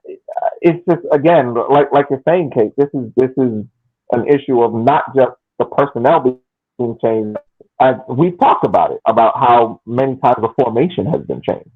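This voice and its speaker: A man's voice: mid-range voice, slightly rough. A man co-hosting a soccer fan podcast, blunt and emphatic, hammering his point home. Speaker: podcast co-host. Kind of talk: casual opinionated chat. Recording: tinny phone-like line.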